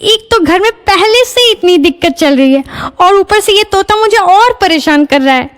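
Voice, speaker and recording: A man voicing an irritable middle-aged village housewife, scolding and shrill. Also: animated, moderate pace, fairly bright tone. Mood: annoyed.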